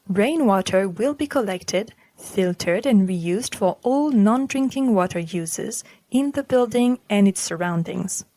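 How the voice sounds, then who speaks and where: A woman presenting a podcast, reading a scripted text in a formal, measured manner. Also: medium pitch, a little mellow, no echo. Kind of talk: scripted narration.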